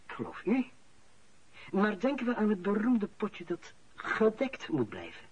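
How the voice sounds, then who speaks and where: An actor playing a shrewd, formal senior civil servant in a radio play, speaking in a measured, officious way. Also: male voice, high voice, a little mellow, husky, dry recording, full character voice. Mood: reassuring, guarded. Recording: dull, muffled radio play recording.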